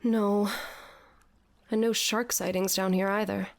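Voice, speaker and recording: A woman's voice: mid-range voice, level tone, a little slow, creaky. An actress playing a sardonic, lovelorn woman aboard a tall ship in an audio drama, sarcastic and given to dramatics. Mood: melodramatic, forlorn.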